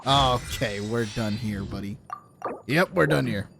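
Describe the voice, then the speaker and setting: A man's voice: fairly low pitch, measured pace, gravelly. A man doing casual, joking game livestream commentary, swearing now and then as he talks to his chat. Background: video game music and sounds.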